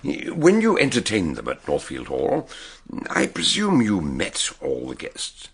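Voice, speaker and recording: Eccentric older British sleuth for radio drama: low, gravelly, husky, ponderous and probing. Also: male voice, huge pitch swings, measured pace, full character voice. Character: eccentric, probing older male amateur detective. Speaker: detective and narrator. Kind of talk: British radio drama acting, narration and dialogue.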